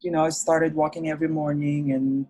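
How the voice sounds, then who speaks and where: A man from the Philippines, a nurse's aide, talking casually over a video call, soft-spoken and full of "you know" fillers. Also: on the high side, little pitch movement, a little fast, rough recording. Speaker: nurse's aide recovering from illness, interview guest. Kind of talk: casual interview conversation.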